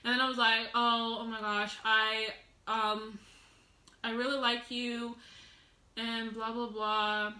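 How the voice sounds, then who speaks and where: A teenage girl vlogging at home, telling a rambling storytime with loud laughs and many restarts. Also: on the high side, little pitch movement, slow, reverberant. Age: teens. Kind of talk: casual storytime vlog. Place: at home, sitting on the floor.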